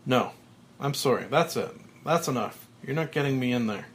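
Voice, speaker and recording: A man reading aloud plays a frantic businessman who shouts in panic and delight. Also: medium pitch, animated, normal speed, slight background noise, close to an everyday voice. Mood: panicked. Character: panicked then elated man, trapped businessman.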